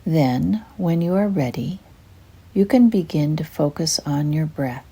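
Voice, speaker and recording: Slow, low, husky older woman guiding meditation. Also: lively intonation. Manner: gentle, soothing, unhurried with soft phrasing. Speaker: guided meditation narrator, retired psychotherapist. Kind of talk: guided meditation, scripted narration.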